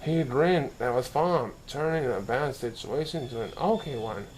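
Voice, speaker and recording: A man's voice: mid-range voice, unhurried, a little mellow, a little hiss or hum, low-quality recording. A man reading a children's story aloud as narration, now and then stumbling and correcting himself. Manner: occasional stumbles and self-corrections while reading. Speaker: story reader.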